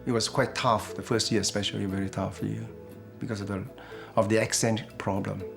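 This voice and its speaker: Gravelly older man from Malaysia. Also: fairly low pitch, flat delivery. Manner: halting, searching for words. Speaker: interviewee, father. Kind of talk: documentary interview. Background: background music.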